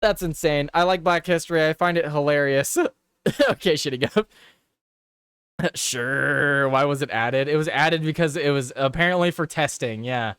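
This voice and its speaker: A man's voice: fairly high pitch, moderate pace, fairly bright tone, clear, resonant tone, no echo, clean studio sound. A man hosting a live political show, reading headlines aloud and chatting casually with his viewers, swearing and repeating himself as he reacts.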